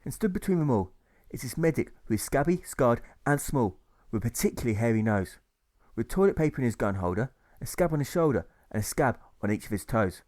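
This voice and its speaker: A man's voice: mid-range voice, animated, fairly quick, a little mellow. A man reading a rhyming audiobook story in a rhythmic, emphatic storytelling manner. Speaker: author reading own audiobook. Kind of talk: scripted rhyming narration.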